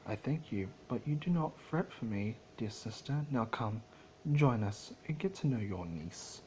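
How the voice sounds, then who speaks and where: A man's voice: fairly low pitch, expressive, measured pace, darkish tone, low-quality recording. An earnest, regal mare, as a man reads her speaks formally to a sister in a storybook tale. There is faint noise in the recording. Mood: reassuring.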